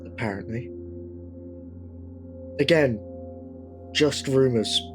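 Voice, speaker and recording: A man's voice: medium pitch, slow pace, natural voice. Guy acting young victorian bloke, blunt, medium voice, music under it. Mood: knowing, sardonic. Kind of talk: period audio drama acting.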